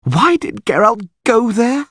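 A man voicing an anxious, excitable male bard in an audiobook, full of demanding, pleading exclamations. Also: on the high side, slow, husky, no echo, clean studio sound, pitched above the performer's own voice, exaggerated voice. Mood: distressed. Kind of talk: audiobook character voice.

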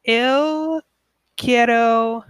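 A woman in her twenties from the United States with an American accent, slowly speaking as a language learner, hosting a casual podcast with many pauses and self-corrections. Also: fairly high pitch, clean tone, dry recording, studio quality. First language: English. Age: 20s. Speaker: podcast host.

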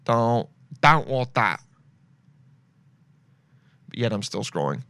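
A man's voice: mid-range voice, big pitch swings, takes it slowly, gravelly, pushed and bright, no room sound. A young man hosting a casual podcast, rambling and joking as he browses shopping sites and reads reviews aloud. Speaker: podcast host.

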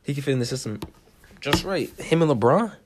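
A man's voice: medium pitch, animated, fairly quick, background noise. A young American man co-hosting a casual sports chat, giving his opinions in short, emphatic, informal statements. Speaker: podcast co-host.

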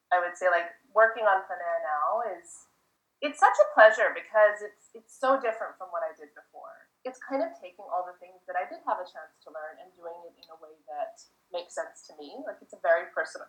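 Woman, forties, from the United States, chatty, fillers, low voice, roomy, lo-fi. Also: fairly bright tone. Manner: chatty, rambling with many fillers. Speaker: guest. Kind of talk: casual interview answers. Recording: echoey, dull lo-fi sound.

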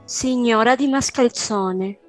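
A polite, reserved Italian immigrant mother in a radio soap, played by a woman. She speaks on the high side, over soft music. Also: slow. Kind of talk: Spanish-language radio soap acting.

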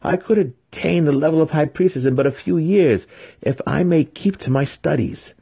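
A man voicing an ambitious young female dark elf, pleading defiantly in an audiobook. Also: fairly high pitch, normal speed, gravelly, husky, pitched above the performer's own voice. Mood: daring protest.

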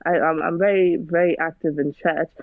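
A woman's voice: low voice, fairly even intonation, normal speed, no echo. A woman, a guest in a casual conversation, talking earnestly and turning preachy, firing off rhetorical questions. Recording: dry, phone-like narrow sound.

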